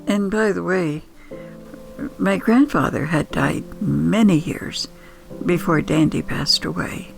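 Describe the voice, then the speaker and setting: Very creaky older woman telling stories. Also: fairly low pitch, over music. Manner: reflective, unhurried. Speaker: podcast storyteller. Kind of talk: nostalgic personal storytelling, scripted narration.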